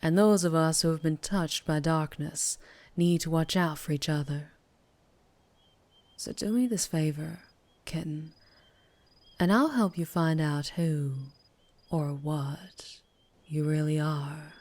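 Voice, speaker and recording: Casting: woman to play a sultry Southern US female vampire biker for ASMR roleplay; low register, unhurried, teasing and guarded. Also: fairly even intonation, a little mellow, dry recording. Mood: intimate, teasing. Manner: slow, low, teasing, lapsing into breathy whispers. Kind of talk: ASMR roleplay, scripted character acting.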